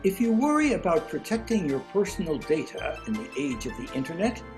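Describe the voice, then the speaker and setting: A woman's voice: on the low side, big pitch swings, music in the background. A woman host reading short scripted introductions to each piece over a video call. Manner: measured, announcing.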